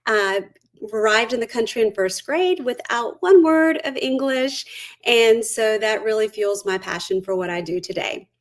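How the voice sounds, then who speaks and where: A woman's voice: on the high side, lively intonation, measured pace. A woman in her forties from Brazil, a curriculum lead giving an upbeat webinar presentation with personal stories over a computer mic. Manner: upbeat, eager, personal storytelling.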